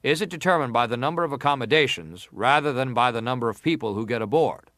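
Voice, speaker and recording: A formal, pressing senator examines a witness in a historical drama. His mid-pitched male voice is deliberate and insistent, recorded dry with no room around it. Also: lively intonation, a bit bright, dry recording. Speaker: actor reading an examining senator. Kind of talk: dramatised reading of hearing testimony.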